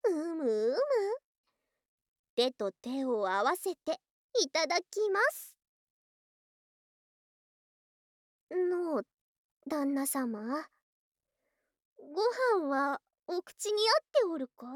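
A woman's voice: high-pitched, dry recording, studio quality. A woman playing a doting, possessive lamia yokai wife in an ASMR voice drama, with haughty but affectionate archaic speech. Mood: tender, doting. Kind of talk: ASMR situation voice drama acting.

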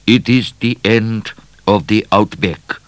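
A man's voice: on the low side, takes it slowly, no room sound, voice pushed up. A man voicing a miserable, aloof foreign explorer in a storybook, speaking solemnly with a German accent and inverted word order. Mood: morbid, solemn. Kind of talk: storybook character voice.

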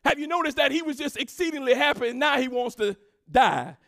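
Woman preaching with shouted sing-song emphasis. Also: sits high, expressive, fairly quick, gravelly. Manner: emphatic preaching with call-and-response. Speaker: pastor. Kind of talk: sermon.

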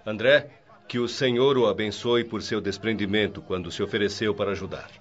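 An actor playing a calm, fatherly male mentor who reassures a younger friend in a radio drama. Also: on the low side, brisk, lo-fi sound, faint music behind, close to an everyday voice. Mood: reassuring. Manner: warm, fatherly, gently chuckling.

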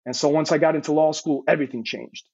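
A man's voice: fairly high pitch, fairly even intonation, fast talker, vocal fry, darkish tone, husky, no room sound, dull audio. A man in his thirties, born in Serbia, telling his story as an interview guest in an earnest way, stumbling and repeating words. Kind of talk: casual interview.

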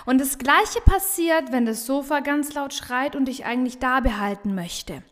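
A woman with a regional dialect talks with bright, emphatic energy in a fairly high voice, hammering home her points by repeating them. She hosts a motivational business podcast, and her voice rings on in a long reverb. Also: moderate pace. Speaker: business coach and podcast host. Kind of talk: motivational solo podcast talk.

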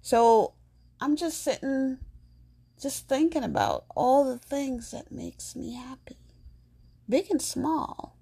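Slow, gravelly-voiced woman podcast host. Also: high voice, animated. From Jamaica. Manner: soft, slow and earnest. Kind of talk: reflective podcast monologue.

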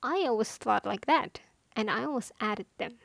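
A woman's voice: fairly high pitch, lively intonation, moderate pace, gravelly, fairly bright tone, dry recording. A woman who speaks English as a second language, giving casual advice in a playful, self-deprecating, chatty way. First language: not English. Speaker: content creator giving language-learning advice. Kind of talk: casual monologue to listeners.

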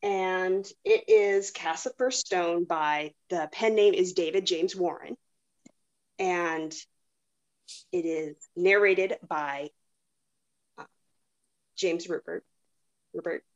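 A woman's voice: fairly low pitch, takes it slowly, pauses often, dull audio. A woman hosting a podcast, chatting casually and introducing clips. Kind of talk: casual chat about audiobooks.

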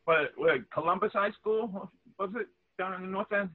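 A man from the Boston area co-hosting a podcast, chatting casually, animated and argumentative, laughing often, heard over a call-in line. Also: high-pitched, normal speed, slightly rough, no echo. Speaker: podcast co-host. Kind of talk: casual banter. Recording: tinny dry phone-like line.

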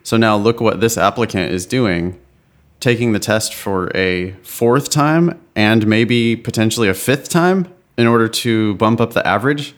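A man's voice: fairly low pitch, animated, unhurried. A man co-hosting a test-prep podcast, chatting casually in a blunt, exasperated way and laughing often. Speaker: podcast co-host.

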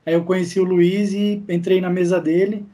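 Male Brazilian guest, notably high pitch, relaxed chat format, explaining things conversationally. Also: moderate pace. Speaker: podcast guest. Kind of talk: casual chat.

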